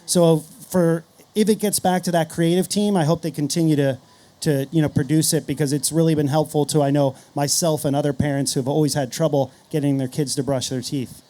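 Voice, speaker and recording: A man with a fairly high but very soft-edged, mellow voice talks on a panel. He is middle-aged and chats in an informal, anecdotal way, sprinkling in casual filler phrases. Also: steady tone, brisk. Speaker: panelist, podcast host. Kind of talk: conference panel discussion, casual.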